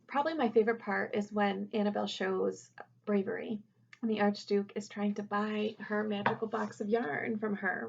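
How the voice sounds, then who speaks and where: A woman's voice: fairly high pitch, vocal fry, a little mellow, husky. A woman who teaches first grade, reading a picture book aloud expressively for children. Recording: dull lo-fi audio.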